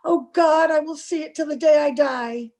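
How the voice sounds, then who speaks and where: A woman's voice: high-pitched, moderate pace, darkish tone, close to an everyday voice. A woman playing a frightened, distraught young woman in an old-time radio horror play, pleading and melodramatic. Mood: haunted, horrified. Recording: home video-call recording.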